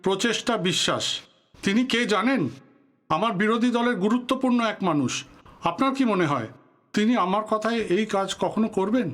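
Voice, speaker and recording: High-voiced, commanding male politician. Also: animated, brisk, fairly bright tone. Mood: indignant. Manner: commanding, indignant, formal. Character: touchy, authoritative veteran male politician, greying. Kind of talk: Bengali audio drama acting.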